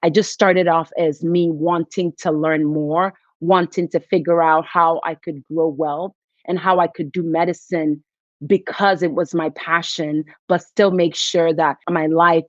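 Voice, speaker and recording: A woman from Nigeria talks in an earnest, reflective way as a guest on a podcast. Her voice is on the low side, recorded dry and a little dull, as if over a modest mic. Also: dry recording. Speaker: physician and investor guest. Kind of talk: podcast interview.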